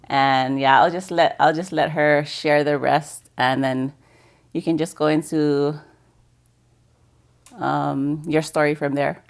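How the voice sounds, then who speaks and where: A woman's voice: low-pitched, steady tone, takes it slowly, creaky. A middle-aged woman hosting a podcast, chatty and supportive, often finishing her guest's sentences. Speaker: podcast host. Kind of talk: casual conversational interview.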